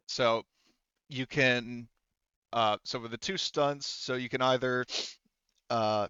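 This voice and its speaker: A man acting as game master in a casual tabletop session, haltingly thinking aloud as he checks rules and sets up the action, with some casual swearing. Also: mid-range voice, pushed and bright, clean tone, no echo, dull audio.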